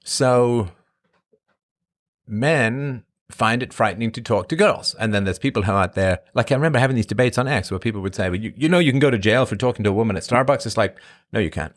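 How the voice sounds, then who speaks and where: A middle-aged man from Ireland and England, rambling casually as a host answering his live chat. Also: brisk. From born in Ireland, grew up in England. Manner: rambling, conversational, emphatic swings in pitch. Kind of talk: casual monologue.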